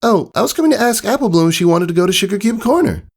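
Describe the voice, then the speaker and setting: A man's voice: high voice, expressive, rapid-fire, gravelly, voice pushed up, exaggerated voice. A man voicing a small, earnest young pegasus girl in a storybook reading, eager and girlish in manner.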